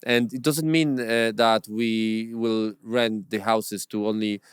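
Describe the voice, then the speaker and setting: A man who is a property investor, telling his story as an interview guest in halting, non-native English with many fillers and restarts. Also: lowish voice, steady tone, smooth, no echo, clean studio sound.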